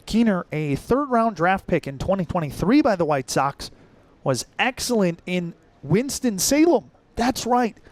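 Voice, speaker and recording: A man's voice: sits high, sing-song, a little slow, dry recording. A young American man calling baseball live as a radio play-by-play announcer, in clipped, descriptive phrases. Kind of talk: live sports commentary.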